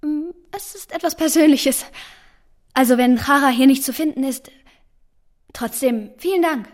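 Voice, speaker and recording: High-voiced, reserved young woman played by an actress. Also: normal speed, darkish tone, close to an everyday voice. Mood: guarded, polite. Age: young adult. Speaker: actor in a radio play. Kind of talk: radio drama acting.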